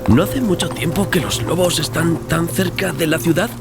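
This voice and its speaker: A man acts out an obstinate, curious English gentleman in a horror audiobook. His voice is raised from the narrator's usual deep register and presses with questions, brushing off superstition. Music plays behind him. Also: moderate pace, creaky. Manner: questioning, insistent, dismissive of superstition. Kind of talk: dramatized audiobook dialogue.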